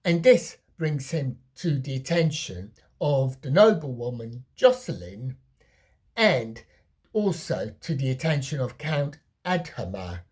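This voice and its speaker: A woman giving a personal film review in a conversational, opinionated way, breaking her sentences into deliberate, emphatic phrases. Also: rumbling low, a little mellow, breathy edge. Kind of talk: personal movie review to camera.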